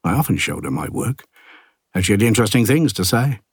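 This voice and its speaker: A man narrating an audiobook as an ageing village artist, a plain-spoken, gruff and reflective loner, with a husky low voice and a wry, understated delivery. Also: sing-song, a little fast, dry recording, clean studio sound, full character voice. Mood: fond, wry. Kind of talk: first-person literary audiobook narration.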